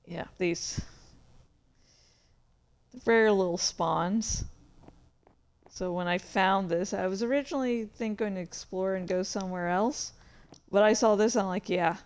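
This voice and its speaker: A woman's voice: medium pitch, unhurried, pauses often, clean tone. A woman hosting a casual livestream, rambling and narrating her own actions with exclamations as she plays a game. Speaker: livestreamer and host. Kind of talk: casual livestream chat while playing a game.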